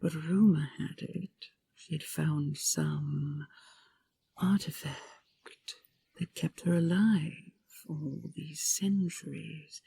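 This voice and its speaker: A woman voicing an ancient, imperious dragon queen in an audio roleplay, breathy and gravelly, with a regal, commanding manner. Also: deep voice, steady tone, very slow, mellow, exaggerated voice. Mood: haughty, softening. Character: ancient imperious female dragon queen, stern, possessive, condescending.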